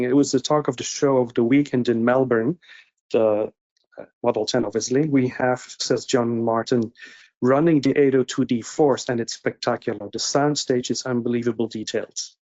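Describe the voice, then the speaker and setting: A man's voice: medium pitch, darkish tone. A middle-aged man co-hosting a webinar over a video call, reading audience questions aloud. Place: indoor room on a video call. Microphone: video call microphone. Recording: dull, band-limited video-call audio.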